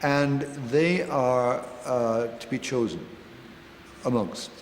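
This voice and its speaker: A man explaining an opera's story in an interview, halting often with fillers as he searches for words. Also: medium pitch. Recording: echoey hall, faint noise.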